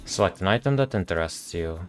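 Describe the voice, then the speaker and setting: A man reading video game dialogue aloud in a monotonous voice, with casual asides. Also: deep voice, a little fast, soft background music.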